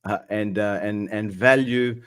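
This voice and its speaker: An Australian man in his thirties, hoarse from a cold, hosting a chatty livestream with lots of fillers. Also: fairly low pitch, fairly even intonation, a little slow, heavy background noise. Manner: friendly, chatty, many fillers. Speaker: host. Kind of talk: casual livestream chat.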